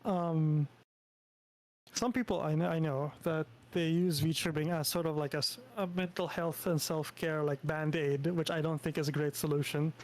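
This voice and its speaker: A man's voice: on the high side, steady tone, normal speed, darkish tone, low-quality recording. A young Filipino man chatting casually on a stream, with lots of fillers and restarts. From Philippines. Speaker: streamer and VTuber host. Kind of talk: casual collab stream chat.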